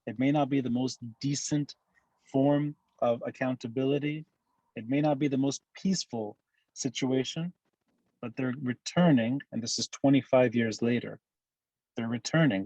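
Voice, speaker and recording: A man from Lebanon talks through a podcast interview in a measured, emphatic way. His voice sits at a middle pitch, and it is recorded dry and close with no room sound. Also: mellow, dry recording, lo-fi sound. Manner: measured, emphatic analytical speech. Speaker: guest commentator.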